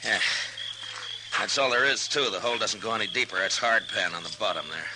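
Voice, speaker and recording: A man playing a low-voiced, laconic frontier lawman. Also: expressive, lightly acted. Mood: focused, grim. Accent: American. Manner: terse, unhurried, authoritative. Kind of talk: 1950s radio western acting. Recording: very noisy old radio recording, night crickets.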